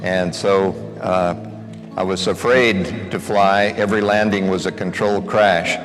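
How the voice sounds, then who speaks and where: Deep-voiced older man recalling his career. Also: fairly even intonation, measured pace. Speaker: speaker. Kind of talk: answering audience question. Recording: hall reverb with music.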